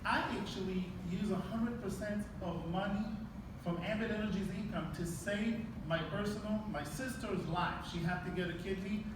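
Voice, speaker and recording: A man from Israel giving an excited, heartfelt testimonial speech. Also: sits high. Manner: excited, heartfelt, slightly halting. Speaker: speaker at a business event. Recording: echoey hall, distant mic, crowd noise.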